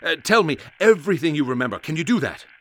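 Radio drama professor: eager yet hesitant, courteous, animated, forceful tone. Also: male voice, a little high, quick pace. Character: polite, hesitant but eager male university professor.

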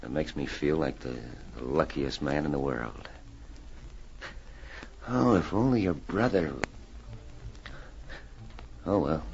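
An actor playing a smooth-talking gambler courting a woman in 1940s radio drama style. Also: a bass voice, takes it slowly, pauses often, darkish tone, exaggerated voice. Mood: earnest, tender. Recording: muffled, hissy old radio recording.

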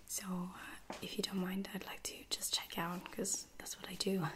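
A woman's voice: lowish voice, flat delivery, natural voice. Woman playing a whispering, caring female lieutenant. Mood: gentle, hesitant. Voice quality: breathy unvoiced whisper. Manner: hesitant, gentle, reassuring, with soft-spoken pauses. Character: caring, apologetic female space-soldier lieutenant tending a wounded stranger. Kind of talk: whispered ASMR roleplay.

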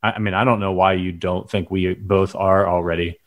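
Man, low voice, podcast co-host, playful, laughing, casual chat. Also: flat delivery, fairly quick. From lives in Chicago.

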